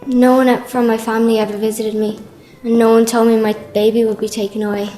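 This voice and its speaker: An actress playing a sorrowful teenage girl giving a measured, subdued first-person testimony. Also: a little high, flat delivery, dark tone, echoey room, faint music behind, close to an everyday voice. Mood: grieving. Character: sorrowful teenage girl recounting mother and baby home.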